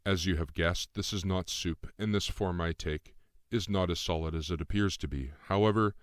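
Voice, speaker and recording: A man voicing a calm, cryptic shape-shifting spirit guide in an audiobook, speaking in a measured, formal way. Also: low voice, clear, resonant tone, dry recording, clean studio sound, lightly acted. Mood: composed, explaining. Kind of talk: audiobook character dialogue.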